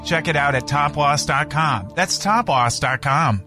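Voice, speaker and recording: A man's voice: on the high side, animated, measured pace, music in the background. A man reading a radio commercial voice-over, upbeat and persuasive. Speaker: ad announcer.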